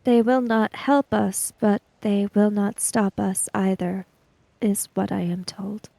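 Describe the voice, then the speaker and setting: A woman playing a tabletop roleplaying game, speaking improvised dialogue in a measured, deliberate way with halting phrases. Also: mid-range voice, fairly even intonation, gravelly, dark tone, no echo.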